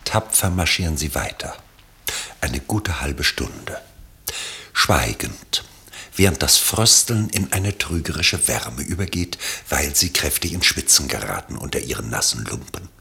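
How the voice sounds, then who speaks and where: Very deep, creaky male narrator. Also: lively intonation, measured pace, pushed and bright. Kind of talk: scripted literary narration of a radio play.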